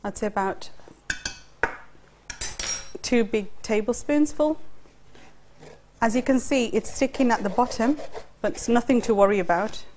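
A woman's voice: fairly high pitch, a little slow, pauses often, darkish tone, a little hiss or hum. A middle-aged woman hosts a cooking show, explaining each step as she cooks in a studio kitchen on a lapel mic. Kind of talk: cooking demonstration. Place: studio kitchen set, indoors. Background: faint clatter of pots and utensils.

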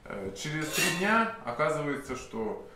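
A man in his thirties with a slight cold, telling a long story to camera, full of pauses and restarts. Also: a little high, very animated, over loud music. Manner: rambling, many hesitation sounds and restarts. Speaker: self-styled sorcerer telling a client's story. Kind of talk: unscripted monologue to camera. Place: indoor office room with candles. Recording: very echoey room, faint hiss.

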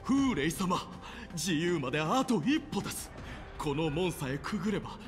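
Servile villain's henchman, high voice, swooping intonation, urgent, deferential, game drama. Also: sing-song, normal speed, soft background music. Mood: eager, reverent.

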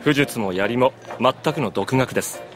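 An actor playing a calm military colonel who is polite, unruffled and dryly mocking, in an anime audio drama. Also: male voice, mid-range voice, unhurried, over loud music, close to an everyday voice. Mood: dryly teasing. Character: suave, sardonic military colonel, calm and teasing.

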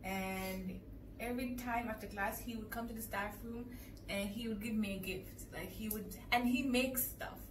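A young woman chatting casually, telling lively stories about her students and acting out their voices. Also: medium pitch, normal speed. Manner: lively, acts out kids' voices. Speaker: English teacher in Japan. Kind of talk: casual chat, telling anecdotes. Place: indoor room with framed photos. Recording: echoey room, far mic, clear room hum.

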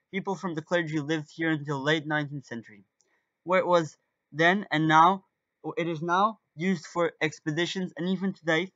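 A young man in his teens presents an amateur documentary in Portuguese-accented English. His voice sits a little high for a man. The recording is dry, with hardly any room in it. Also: pushed and bright, dry recording, highs cut off. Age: teenager. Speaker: student presenter and guide.

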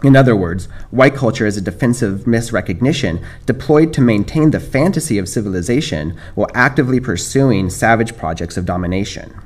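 American man, middle-aged, lowish voice; measured lectern talk read from notes. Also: expressive. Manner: measured, reads from script with small restarts. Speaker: panel speaker. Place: dark lecture hall, standing at a lectern. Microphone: lectern microphone.

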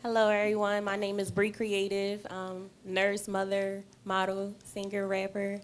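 A woman's voice: medium pitch, completely flat delivery, unhurried, a little hiss or hum. A woman introducing herself as an actress in a play in a restaurant bar, playful and confident.

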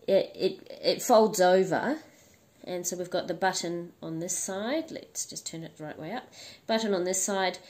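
A woman hosting a crochet tutorial, her voice husky and hoarse from the flu, chatting casually with frequent ums and restarts. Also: medium pitch. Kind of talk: casual instructional talk.

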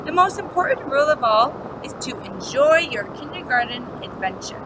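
A woman voicing a patient male kindergarten teacher from a storybook, measured and instructive. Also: high voice, expressive, background noise.

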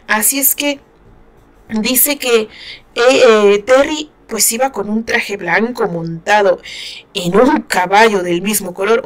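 A woman with a northern Mexican accent chatting casually about a novel she is reading, giggling and sighing as she comments. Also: mid-range voice. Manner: giggly and expressive, sighing often. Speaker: book reaction host.

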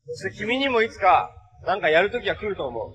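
A man's voice: high voice, big pitch swings, quick pace, fairly bright tone. A young man acting an upbeat, kind-hearted adventurer and hero in a live-action TV superhero drama, speaking in an earnest, friendly, boyish way. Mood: warm, reassuring. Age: young adult.

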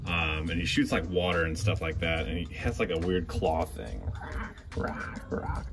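A man's voice: sits low, measured pace, over music. A young man with a Midwestern American accent, chatting enthusiastically as a vlog co-host while browsing a toy store, filmed on a handheld phone. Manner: chatty, enthusiastic, laughs often. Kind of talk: casual shopping vlog chat.

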